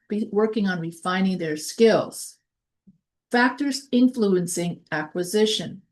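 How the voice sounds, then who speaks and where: A woman giving a recorded college lecture. She reads her notes in a measured way, then explains things off the cuff with restarts and fillers. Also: medium pitch, darkish tone. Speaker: college instructor.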